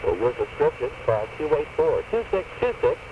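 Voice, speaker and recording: A man's voice: a little high, very animated, moderate pace. A man presenting as a country radio disc jockey, with upbeat patter and promos. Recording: distant, muffled, noisy AM radio recording.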